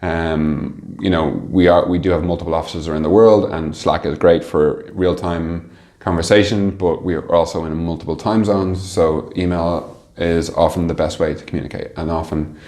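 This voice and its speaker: A man answering interview questions conversationally, haltingly thinking aloud as he explains his work as a manager. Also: deep voice, steady tone, darkish tone. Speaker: interview guest, team manager.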